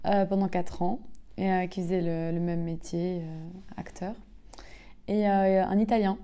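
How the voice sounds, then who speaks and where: A woman's voice: fairly low pitch, steady tone, measured pace, dark tone, clear, resonant tone. A woman in her twenties from the south of France, a singer and actress telling her story in an interview with many hesitations. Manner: hesitant, many filler sounds, laughs easily. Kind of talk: casual interview talk.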